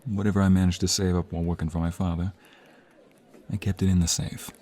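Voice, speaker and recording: A man's voice: low-pitched, little pitch movement, fast talker, dark tone. A man playing a protective mobster's son in an ASMR roleplay drama, intimate and earnest, able to turn menacing. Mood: tender, worried. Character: protective young mobster's son, tender lover, menacing when threatened.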